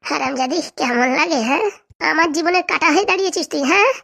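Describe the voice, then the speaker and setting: A woman's voice: high-pitched, fast talker, vocal fry, a bit bright. A woman voicing a vengeful, scheming jilted wife in a comedy cartoon, ranting and gloating to herself. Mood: gloating, furious.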